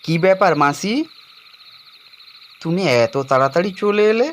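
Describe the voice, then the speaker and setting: A man playing an anxious young man with a guilty past, telling and acting out an animated horror story in a dramatic, suspenseful manner. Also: high-pitched, moderate pace, a little hiss or hum. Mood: puzzled. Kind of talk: dramatized first-person horror story narration.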